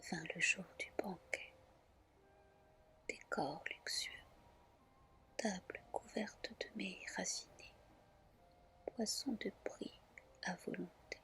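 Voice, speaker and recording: Slow whispering woman storyteller. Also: fairly low pitch. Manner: soft, slow, soothing, deliberate phrasing. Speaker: storyteller, ASMR reader. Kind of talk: whispered ASMR reading of a mythological tale.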